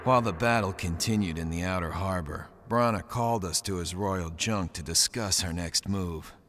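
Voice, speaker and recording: An actor playing a gritty, determined young pirate raider in a video game, both narrating and in dialogue. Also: male voice, low voice, normal speed, fairly bright tone. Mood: wry narrating. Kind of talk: video game cutscene acting and first-person narration.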